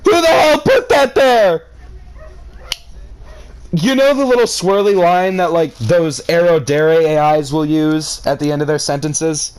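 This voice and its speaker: A man's voice: a little high, measured pace, darkish tone, clear, resonant tone, rough recording. A man reading a story aloud as a comedic reader, adding exasperated, sarcastic commentary in a theatrical way.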